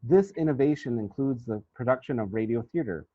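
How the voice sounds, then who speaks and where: A man reading out a scripted academic paper as a researcher, in a formal, measured and deliberate manner. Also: mid-range voice, lively intonation, dry recording. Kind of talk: scripted academic presentation, read aloud. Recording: thin, phone-like band-limited sound.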